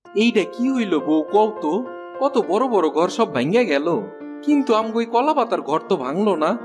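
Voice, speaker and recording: A man's voice: high voice, very animated, normal speed, music in the background. A man with a rural Bangladeshi accent playing a humble, forgiving poor village husband in a cartoon, plain-spoken and deferential. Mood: musing, relieved. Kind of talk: cartoon dialogue acting.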